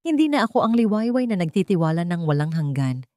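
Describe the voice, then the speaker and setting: A woman narrates a romantic drama in a low voice. The delivery is scripted first-person storytelling, and the sound is dry and close, with very little room in it. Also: big pitch swings, fast talker, warm tone, no echo.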